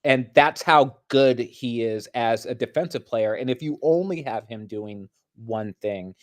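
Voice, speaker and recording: A man's voice: mid-range voice, darkish tone. Man giving analytical basketball commentary.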